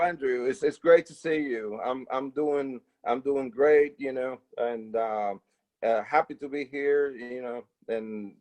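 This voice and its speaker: A man from the Dominican Republic with a Dominican Spanish accent, answering casually as an interview guest, haltingly, with many fillers and repeated words. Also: medium pitch, a little mellow, rough recording. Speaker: interview guest, percussion educator.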